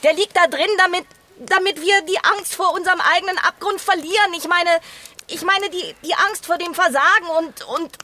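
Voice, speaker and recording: An actress playing an earnest, compassionate, idealistic woman in a naturalistic radio drama, halting and repeating words as she insists. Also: very high voice, bright, forceful tone. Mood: urgent pleading.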